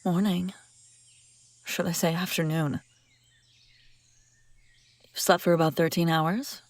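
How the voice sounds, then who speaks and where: A woman's voice: on the low side, fairly even intonation, takes it slowly, no room sound. A woman playing a brusque, sardonic but secretly caring female bounty hunter in a scripted fantasy audio roleplay, speaking straight to the listener. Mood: dry, caring. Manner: sarcastic, teasing, often dropping to hushed urgency.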